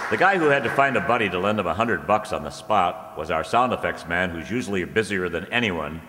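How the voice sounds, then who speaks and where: A man's voice: low-pitched, expressive, fairly quick. A man working as a show announcer, reading scripted closing credits. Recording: echoey hall, audience and clear background noise.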